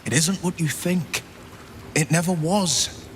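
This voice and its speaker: An actor playing a noble, earnest adventurer son in a fantasy game, speaking in a gentle, sincere way. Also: male voice, on the high side, slow, slight background noise. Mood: earnest, pleading. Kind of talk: dramatic fantasy game acting.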